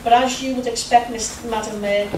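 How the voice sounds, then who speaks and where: A woman's voice: a little high, fairly even intonation, a little fast. A woman councillor delivering a formal speech at a council meeting in a large chamber. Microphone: recorded from the back of the room. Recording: distant, echoey, noisy council chamber recording.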